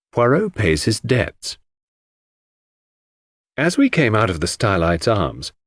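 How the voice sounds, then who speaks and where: Animated male audiobook narrator, dry sound. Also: lowish voice, husky, dry recording. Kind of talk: scripted narration of a classic detective story.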